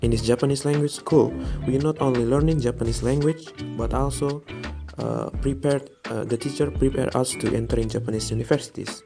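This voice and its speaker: A young man from Indonesia, a student giving a friendly, chatty campus tour narration and sometimes pausing to find words. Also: mid-range voice, fairly even intonation, creaky. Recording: loud background music over the voice.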